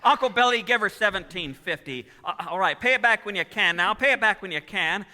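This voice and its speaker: A man acts out an earnest young small-town loan man in a vintage radio drama. His voice is very bright and high, stammering and pleading in a folksy way. It carries through a reverberant hall. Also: animated, a little fast, exaggerated voice. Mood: relieved, brisk. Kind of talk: 1940s radio acting on stage. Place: theatre stage. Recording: echoey hall, live stage taping.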